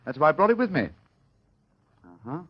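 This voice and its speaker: Arrogant, animated gentleman detective, old radio sound. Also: fairly high pitch, a little fast, breathy edge. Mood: keen, self-assured. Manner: dry, pompous, precise and formal. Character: arrogant, sharp-eyed Victorian English gentleman detective. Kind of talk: vintage radio mystery acting. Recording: dull, lo-fi old radio recording.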